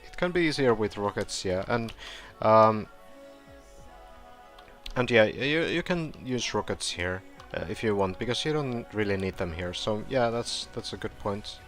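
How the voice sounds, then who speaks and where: A man with a fairly low voice walks through a game level in a loose, rambling way, full of fillers and restarts. His voice is getting hoarse. Faint music plays under a desk-mic recording. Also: unhurried. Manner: relaxed, rambling, with frequent fillers and self-corrections. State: hoarse, clearing his throat. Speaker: gameplay tutorial streamer. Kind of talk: casual gameplay walkthrough commentary.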